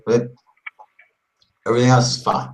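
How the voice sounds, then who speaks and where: Teacher, man, bit hoarse from a cold, easygoing tone, computer mic held back at arm's length. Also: mid-range voice, little pitch movement, unhurried, fairly bright tone, poor audio. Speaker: English teacher. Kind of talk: casual online lesson chat.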